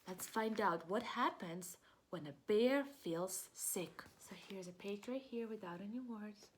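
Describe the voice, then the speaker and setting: Woman, preschool teacher read-aloud: very slow, mid-pitched, encouraging, question-led. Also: slight background noise. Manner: encouraging, asks questions and pauses for answers. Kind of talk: children's picture-book read-aloud.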